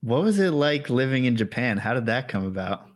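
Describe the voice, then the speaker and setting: A man hosting an interview, asking questions in a relaxed, conversational way with fillers. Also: medium pitch, brisk. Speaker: host. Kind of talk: casual interview.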